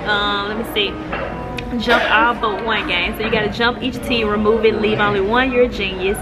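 An American woman in her twenties chatting casually and playfully to the camera as a vlogger, in colloquial, animated speech. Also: medium pitch, normal speed, loud music behind the voice. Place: house, car and restaurant booth. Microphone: handheld camera at arm's length. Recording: noisy handheld camera audio.

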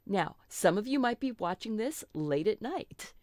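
A middle-aged woman with a slightly froggy voice, hosting a relaxed live painting lesson and chatting reassuringly with little asides and self-corrections. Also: mid-range voice, a little slow, no room sound. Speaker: art teacher hosting a live stream.

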